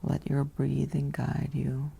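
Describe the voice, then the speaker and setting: A woman narrates a guided sleep meditation in a soft, whispery ASMR style. Her voice is mellow and full of heavy vocal fry. She speaks in an even, soothing way, leaving long pauses between short phrases. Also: a bass voice.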